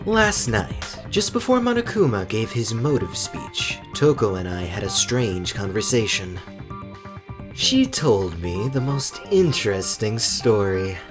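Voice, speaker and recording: A woman playing a cold, haughty teenage boy in an anime game fandub, measured, condescending and disdainful. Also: extremely low pitch, very animated, full character voice. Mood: cool, explanatory. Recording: loud music behind. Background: music.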